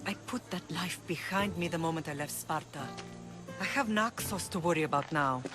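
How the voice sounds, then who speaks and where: A woman's voice: lowish voice, normal speed, natural voice. An actress playing a middle-aged mother who rules an island, composed and commanding, in a video game cutscene. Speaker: island leader. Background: music.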